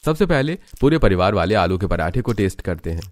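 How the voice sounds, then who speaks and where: A man's voice: low-pitched, big pitch swings, fairly quick, no room sound, clean studio sound. A man reading scripted narration for a storybook-style family tale.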